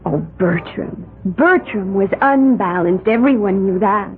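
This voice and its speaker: A woman's voice: mid-range voice, lively intonation, unhurried. An actress playing an odd young woman who speaks in a placid, matter-of-fact, faintly dreamy way, in a 1970s radio drama. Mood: calm. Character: serene, odd young woman with a strange secret. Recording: thin, phone-like old radio recording.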